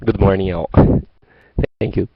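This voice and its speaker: Deep voiced brazilian guy, total monotone, lo-fi dry sound. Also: measured pace, creaky, no echo. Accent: Brazilian Portuguese. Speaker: student.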